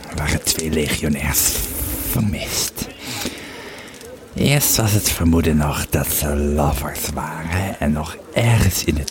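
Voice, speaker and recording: A man playing a grieving Roman legionary who tells his memories haltingly and reflectively in an audio drama. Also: extremely low pitch, full character voice. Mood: grave. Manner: halting, reflective storytelling with searching pauses. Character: grieving, fearful Roman legionary recalling his army days. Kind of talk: audio drama first-person narration.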